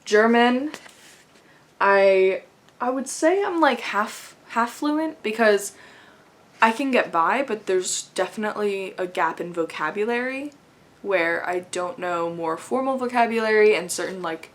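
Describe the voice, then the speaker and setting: A woman's voice: mid-range voice, lively intonation, unhurried. A young woman chatting casually to camera, rambling with frequent laughs. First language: English. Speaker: vlogger.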